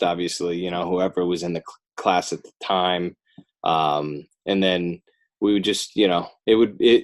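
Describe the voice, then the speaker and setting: A middle-aged American man chatting casually as an interview guest, with a rambling, self-deprecating way of talking. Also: low voice. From northern New Jersey, United States. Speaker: podcast guest, children's book author and illustrator.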